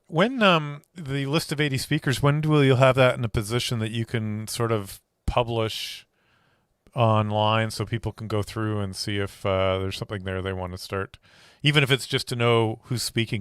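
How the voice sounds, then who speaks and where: A man's voice: on the low side, fairly even intonation, normal speed, fairly bright tone, clear, resonant tone, no room sound, clean studio sound. A man hosting an interview in a casual, conversational way. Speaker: interview host.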